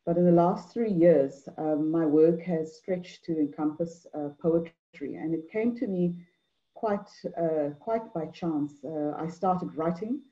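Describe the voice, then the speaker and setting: A middle-aged woman from Mauritius gives an online talk on a video call, measured, with many fillers and repeated words. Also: deep voice, dark tone. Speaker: anthropologist and poet speaker. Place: indoor room at home. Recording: dull lo-fi video call audio.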